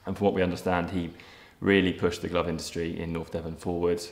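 A man in his thirties, a property developer giving a documentary interview, speaking in a measured way with frequent ums and restarts. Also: sits low, little pitch movement. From England. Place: empty brick factory room, indoors, large. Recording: echoey empty room, faint ambience.

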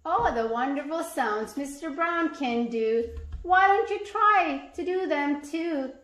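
High-pitched woman reading aloud to children, expressively. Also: slow pace, roomy sound, poor audio. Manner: sing-song, expressive, acting out sound words. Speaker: parent or teacher reading aloud and asking questions. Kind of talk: animated children's picture-book read-aloud.

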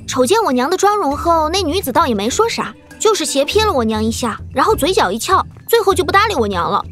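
A woman's voice: sits high, big pitch swings, quick pace, fairly bright tone, faint music behind. A woman playing a cheeky, chatty young girl in a Chinese martial-arts audio drama, pouty and gossipy.

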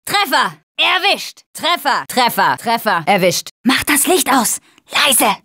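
A woman's voice: fairly high pitch, very animated, slow, bright, forceful tone, dry recording, studio quality, full character voice. An actress playing a tough young woman survivor in a dubbed zombie video game, speaking in short, urgent callouts.

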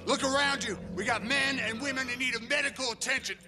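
Blunt game soldier, very high strained pitch, loud music behind. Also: male voice, quick pace. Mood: defiant, angry. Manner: urgent, blunt. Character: gruff, defiant, swearing soldier. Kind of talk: video game cutscene acting.

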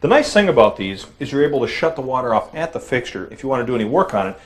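Male how-to host, mid-pitched, calm demonstrator voice, walks through each step plainly. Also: very fast. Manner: plain, steady explaining while demonstrating. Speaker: home improvement host.

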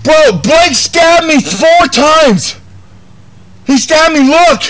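A man in a panicked, frightened state, shouting and pleading repetitively for help with profanity. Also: very high-pitched, lively intonation, very slow.

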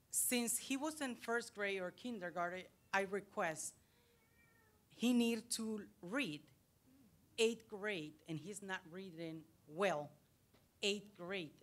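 A middle-aged woman from El Salvador with a Salvadoran Spanish accent, a parent speaking in halting English into a handheld mic in a library auditorium. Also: medium pitch, fairly bright tone. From United States, raised in El Salvador. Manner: earnest, halting English with searching pauses. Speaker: parent speaking at a public meeting. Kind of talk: plain-spoken public comment. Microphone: handheld microphone.

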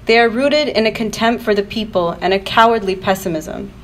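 A woman's voice: mid-range voice, fairly quick. A woman reading a prepared political speech at a live event, with earnest, declarative and emphatic phrasing. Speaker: activist panel speaker. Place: university event, speaking to an audience. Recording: noisy live event room recording.

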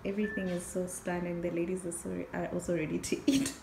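A woman's voice: fairly low pitch, flat delivery, quick pace, gravelly, dark tone, a little hiss or hum. A young woman from South Africa chatting to her phone camera on a girls' trip vlog, laughing often. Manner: chatty, laughing often, says "child" for emphasis. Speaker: vlogger. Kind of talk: casual vlog chat. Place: hotel room, later a cafe. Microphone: phone held at arm's length. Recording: music playing behind.

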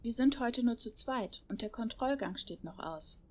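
A woman's voice: fairly high pitch, animated, moderate pace, a little hiss or hum, natural voice. A woman playing a kindly, regretful shelter manager in a parody audio drama.

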